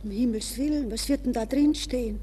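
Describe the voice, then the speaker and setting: A woman's voice: on the high side, fairly quick, warm tone, long reverb tail, slight background noise, lightly acted. An actress playing a desperate, dreamy young woman from a good Viennese family in a theatrical interior monologue for a German radio play. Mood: anxious, pleading.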